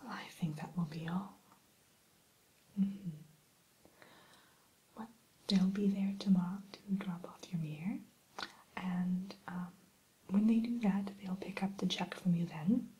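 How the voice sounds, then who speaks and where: A woman playing a polite, primly formal evil queen shopkeeper in a soft, unhurried ASMR whisper. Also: on the low side, steady tone, lightly acted, poor audio. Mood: courteous. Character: genteel evil queen running an enchanted mirror shop. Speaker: shopkeeper character in ASMR roleplay.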